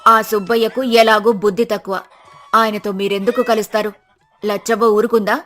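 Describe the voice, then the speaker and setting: A woman's voice: medium pitch, level tone, moderate pace, a bit bright, natural voice. A woman playing an anxious, sensible village wife in a moral story, admonishing against greed with resignation. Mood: pleading, warning.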